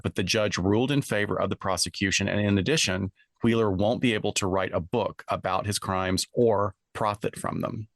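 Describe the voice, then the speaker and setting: A man's voice: fairly low pitch, fairly bright tone, no room sound, clean studio sound. A man co-hosting a podcast, moving between scripted case narration and casual discussion. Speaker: podcast co-host.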